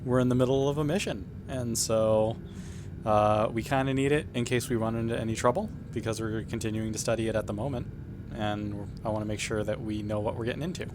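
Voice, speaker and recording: A man playing a tabletop game, speaking in character in a casual, wry, deadpan way. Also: on the low side, brisk, noisy background. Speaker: player. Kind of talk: in-character roleplay chat.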